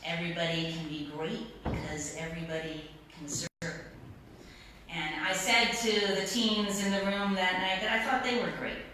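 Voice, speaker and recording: Echoey low-voiced woman pastor preaching. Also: flat delivery, sharp tone. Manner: measured phrasing with deliberate repetition. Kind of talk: sermon. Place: church sanctuary. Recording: echoey church, distant mic, faint noise.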